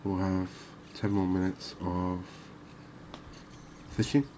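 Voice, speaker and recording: Low-pitched, slow, monotone ASMR man. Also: noisy background. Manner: soft-spoken, unhurried, soothing. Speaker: gaming video host. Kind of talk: ASMR gameplay commentary.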